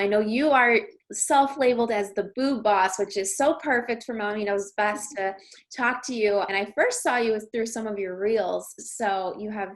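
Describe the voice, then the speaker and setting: A woman hosting a podcast interview, talking in an upbeat, chatty way. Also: fairly high pitch. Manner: upbeat, chatty, many fillers like "like". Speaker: podcast host.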